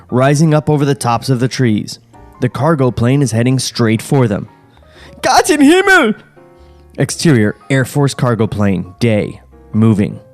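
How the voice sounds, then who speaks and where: A man's voice: medium pitch, a little mellow. A man hosting a podcast, reading the stage directions of a screenplay aloud in a dry, wry way. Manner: dry, wry, with chuckles and sarcastic asides. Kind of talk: script table read, narrating stage directions. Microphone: studio podcast mic.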